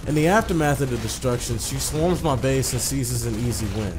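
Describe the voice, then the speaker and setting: A man's voice: medium pitch, brisk, low-quality recording. Man narrating a game over music. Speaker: let's player narrating a visual novel. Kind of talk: casual commentary and reading game text aloud.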